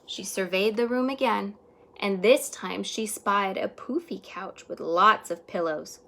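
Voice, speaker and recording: A woman teacher reading a children's story aloud expressively, stretching words and hushing some for emphasis. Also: medium pitch, a little slow, gravelly, slight background noise.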